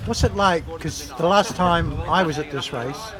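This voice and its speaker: A man with a slightly raised voice asks casual questions at a race finish. He chats easily and teases his guest a little. A busy crowd is clearly heard around him. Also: a little high, moderate pace. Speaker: cycling reporter. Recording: outdoor crowd noise behind. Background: crowd and vehicles.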